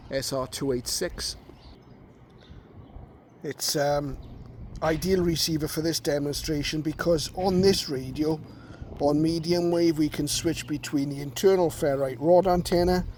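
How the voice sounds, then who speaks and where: A man giving an informal, unscripted hands-on demonstration as a radio hobbyist, explaining step by step in a halting way, recorded on a phone. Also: a little high, darkish tone, slight background noise.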